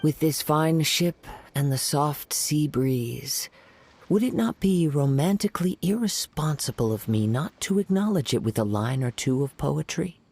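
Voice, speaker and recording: Kazuha, a gravelly young poet. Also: male voice, on the high side, animated, heavily acted. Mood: playful. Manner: soft-spoken, poetic and measured. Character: calm young male wandering poet. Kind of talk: game cutscene voice acting.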